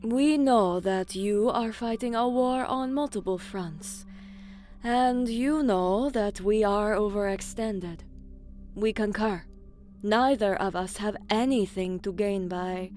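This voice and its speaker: A woman playing a shrewd, guarded alien commander in a science fiction audio drama, speaking in a measured, formal way. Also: mid-range voice, darkish tone, a little hiss or hum, natural voice. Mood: cool, wary.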